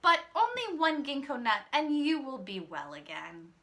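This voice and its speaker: A woman's voice: high voice, sing-song, a little slow, bright, forceful tone, voice pushed up, exaggerated voice. A woman voicing a clever eldest girl in a children's storybook, sweetly coaxing and pleading with feigned innocence. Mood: feigned helplessness. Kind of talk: children's storybook read-aloud.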